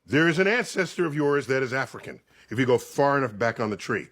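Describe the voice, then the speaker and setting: A man explaining science in conversation as a guest, emphatic and theatrical, telling it like a story with dramatic pauses and check-in questions. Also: mid-range voice, lively intonation, a bit bright.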